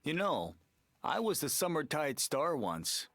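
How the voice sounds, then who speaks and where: A man's voice: fairly high pitch, huge pitch swings, unhurried, fairly bright tone, dry recording, studio quality, heavily acted. An actor playing a proud, flamboyant, playfully indignant man in a video game. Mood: boastful.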